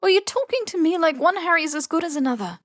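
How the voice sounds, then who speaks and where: A woman's voice: very high-pitched, animated, fast talker, no echo, studio quality, voice pushed up. A woman playing a guilt-ridden, defensive young male wizard hero in a story reading.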